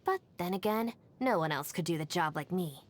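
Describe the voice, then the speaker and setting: A woman's voice: lowish voice, animated. An actress voicing a capable, no-nonsense young female gang deputy in a video game, matter-of-fact and dryly confident. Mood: dry, self-assured. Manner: matter-of-fact, composed, brisk. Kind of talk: video game character voice lines.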